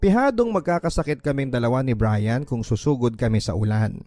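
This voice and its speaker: A man with a Filipino accent tells a first-person story as a radio drama narrator. His voice sits at a medium pitch. Music runs clearly behind him. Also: expressive, fairly quick, darkish tone. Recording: background music.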